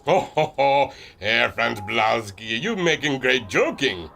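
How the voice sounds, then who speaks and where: A jovial giant of a German inventor-captain, holds forth in a pulp radio adventure. His thick accent comes in a bright, forceful voice with very lively pitch. There is faint noise behind him. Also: takes it slowly, gravelly, full character voice. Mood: jovial, welcoming. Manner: hearty booming laugh, theatrical delivery. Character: jovial grand German-born airship captain and inventor, huge man.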